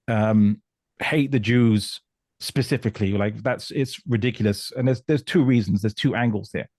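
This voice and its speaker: Male discussion guest from Portugal; emphatic, halting explainer, mid-pitched, close dry sound. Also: darkish tone, no echo. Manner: emphatic, halting, often repeating words. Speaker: guest commentator on religion and politics.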